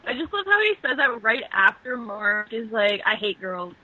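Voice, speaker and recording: Casual female film riffer, high and lively, joking, a little lo-fi. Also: normal speed, slightly rough. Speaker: co-host of a movie riffing show. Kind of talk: casual joking film commentary among friends.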